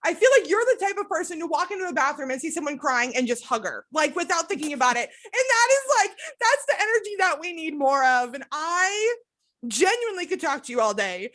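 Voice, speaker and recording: High-voiced gushing woman host. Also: sing-song, fairly bright tone. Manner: effusive, gushing, quick asides. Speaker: podcast host. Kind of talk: casual interview chat.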